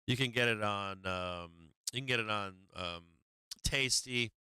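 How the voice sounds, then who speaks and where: A man's voice: lowish voice, unhurried, pushed and bright, clean tone, no room sound, studio quality. A man hosting a stock market livestream, talking casually and profanely through charts with many fillers while he is losing his voice.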